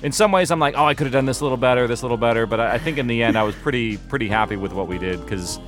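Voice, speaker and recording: A man talking reflectively as an interview guest, halting and thoughtful. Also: mid-range voice, music in the background. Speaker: podcast guest, audio drama producer.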